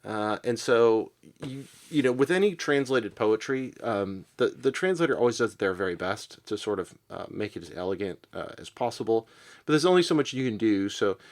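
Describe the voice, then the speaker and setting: A man with a lowish voice presents a podcast about writing. He talks casually and without a script. His delivery is thoughtful and halting, often searching for the right word. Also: lively intonation. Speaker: podcast presenter and poetry reader. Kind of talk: casual literary commentary, unscripted.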